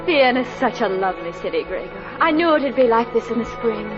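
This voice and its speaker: An actress playing a fragile, devoted young wife, emotional and theatrical in 1940s radio style. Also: female voice, on the high side, expressive, fairly quick, lightly acted. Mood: wistful, happy. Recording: muffled vintage radio recording with loud music.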